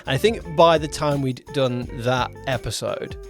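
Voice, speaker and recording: A man's voice: medium pitch, moderate pace, music in the background. A man co-hosting a podcast as its producer, chatting casually and explaining things with frequent backtracking. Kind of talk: casual chat, project update.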